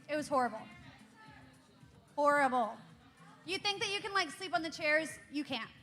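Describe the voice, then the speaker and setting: A woman's voice: high-pitched, animated, moderate pace, fairly bright tone. A young woman co-hosting a youth service stream, bantering with teasing sarcasm. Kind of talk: casual live-stream chat. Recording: long church hall reverb.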